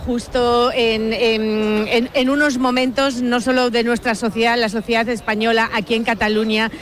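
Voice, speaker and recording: A woman working as a radio field reporter, speaking live on location, often pausing and repeating phrases. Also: fairly high pitch, flat delivery. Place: outdoors among a crowd.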